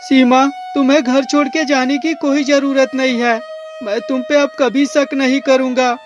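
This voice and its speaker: A man playing a suspicious, hot-tempered husband in a melodramatic cartoon moral story, speaking emphatically. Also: very high-pitched.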